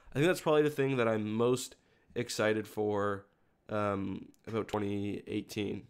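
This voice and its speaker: A man's voice: on the low side, steady tone, measured pace, clear, resonant tone. A man with a congested voice from a cold, chatting casually to livestream viewers in a rambling, self-correcting way. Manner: rambling, self-correcting, stop-start phrasing. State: has a cold, sniffly congested voice. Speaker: video creator hosting a livestream.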